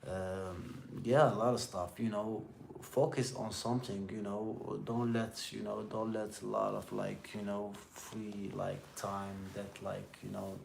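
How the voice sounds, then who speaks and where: A middle-aged man with an Algerian accent talks to live viewers in a low, creaky voice. His English is halting and circles back on itself as he shares casual motivational thoughts. The room has a noticeable echo around him. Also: little pitch movement, a little mellow. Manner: rambling, repetitive, halting second-language English. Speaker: livestream host. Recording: roomy echo with faint background noise.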